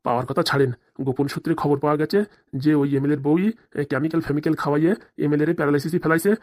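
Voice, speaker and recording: A man's voice: a little high, flat delivery, very fast, a little mellow, lightly acted. A man voicing a greedy, scheming village policeman in a comic cartoon, with conspiratorial, rustic, colloquial speech. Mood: wheedling, secretive.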